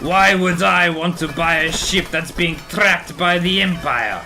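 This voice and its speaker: A man's voice: high-pitched, unhurried, pushed and bright, full character voice. A man playing a wary, haggling scrapyard trader in an audio drama, drawing out his words with exclamatory delivery. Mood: haggling, incredulous. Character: gruff-dealing male rebel scrapyard trader, wary haggler.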